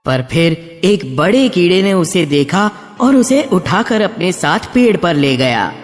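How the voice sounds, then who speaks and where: A man's voice: high-pitched, very animated, a little slow, in a large hall, over music. A man narrating a scripted fairy tale for children as a storyteller.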